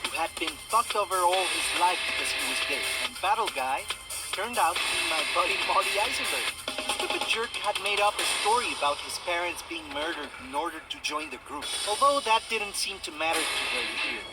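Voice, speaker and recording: Excitable, high-pitched young American superhero. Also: male voice, brisk, rough recording. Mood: narrating, excited. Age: young adult. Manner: cocky, joking, foul-mouthed. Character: wisecracking, starry-eyed young male amateur masked superhero. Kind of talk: video game dialogue and first-person narration. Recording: loud music over the voice.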